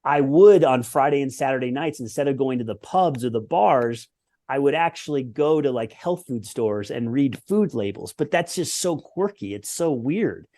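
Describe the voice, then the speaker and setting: A man's voice: mid-range voice, expressive, moderate pace, dark tone. A man in his forties from the United States, a former pro tennis player, telling his story as a podcast guest in an earnest, reflective way. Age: 40s. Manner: reflective, earnest, with lists and restarts. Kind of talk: conversational interview storytelling.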